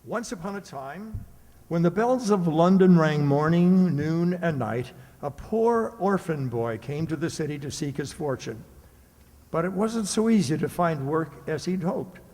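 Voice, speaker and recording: A man's voice: a little high, animated, unhurried, a little mellow. A man playing a genial uncle who hosts and tells stories for children in old-time radio style. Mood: storytelling. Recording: echoey hall with long reverb.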